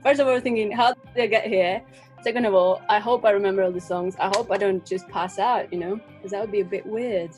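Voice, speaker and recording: A woman's voice: mid-range voice, steady tone, fairly quick, darkish tone. Casual young woman with music underneath. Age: young adult. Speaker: band musician being interviewed. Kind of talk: casual chat. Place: home room, on a video call. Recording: video call, music underneath.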